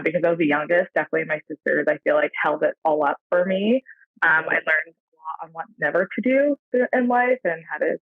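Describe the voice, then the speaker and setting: Gravelly American woman on a tinny line. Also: low-pitched, no room sound. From San Francisco Bay Area, USA. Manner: reflective, rambling, heavy on fillers. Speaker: podcast guest. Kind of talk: casual interview chat. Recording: tinny phone-like dry line.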